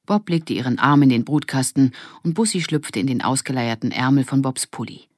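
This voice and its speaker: A woman's voice: very deep voice, brisk, a little mellow, dry recording, clean studio sound. A woman reading a scripted children's story as an audiobook narrator.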